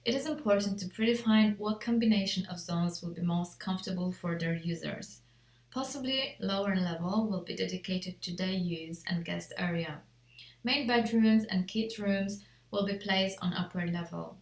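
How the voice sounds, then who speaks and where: A woman reads a scripted project presentation as an interior designer, in a measured, formal way. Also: medium pitch. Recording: echoey untreated room.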